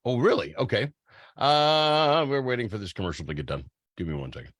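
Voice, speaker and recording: Animated male radio host, blunt and dry-sounding. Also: mid-range voice, a little fast, no room sound. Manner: blunt, argumentative. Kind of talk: casual radio banter about songs.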